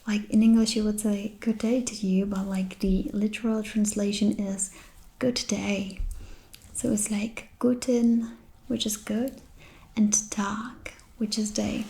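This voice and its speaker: A Czech-accented woman whose first language is Czech, giving a soft-spoken ASMR lesson in a whispery voice with hesitant ums and likes. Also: mid-range voice, level tone, takes it slowly, creaky, slight background noise. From Czech Republic. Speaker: ASMR host.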